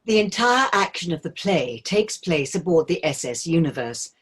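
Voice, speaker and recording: A woman narrates a play's stage directions from a script. Her voice is a little low and husky, and her measured delivery moves through a wide, lively range of pitch.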